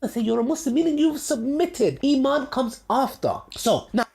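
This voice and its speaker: A man in a played clip, explaining a religious point in a measured way. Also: very high voice, lively intonation, creaky, a little mellow.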